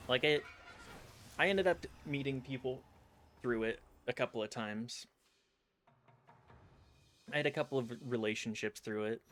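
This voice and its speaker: A man streaming a video game, chatting casually in a rambling, self-deprecating way with animated asides. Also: medium pitch, measured pace, pauses often. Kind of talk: casual chat while commenting on gameplay. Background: game music.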